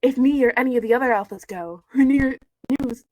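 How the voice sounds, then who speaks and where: A woman's voice: high voice, a little fast. A woman chatting casually and reading fanfiction aloud, with a playful, giggly, joking manner. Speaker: co-reader on a call. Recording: noisy online call with clicks.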